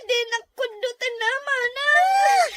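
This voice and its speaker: A woman's voice: extremely high pitch, expressive, slow, a bit bright, heavily acted. A woman playing a meek, bullied young girl in a radio drama, plaintive, with an Ilocano Filipino accent. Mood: pleading, crying.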